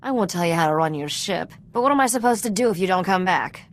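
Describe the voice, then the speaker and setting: Low-voiced, wry treasure hunter. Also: female voice, lively intonation, fast talker. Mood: dry, concerned. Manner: dry, teasing, self-assured. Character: sly, wry female treasure hunter and ship mechanic. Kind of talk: video game cutscene acting.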